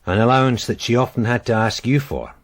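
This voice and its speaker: An actor playing a blunt, dogged police sergeant in a radio drama, with pointed, probing delivery. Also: male voice, mid-range voice, fairly quick, lightly acted. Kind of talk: naturalistic radio drama acting.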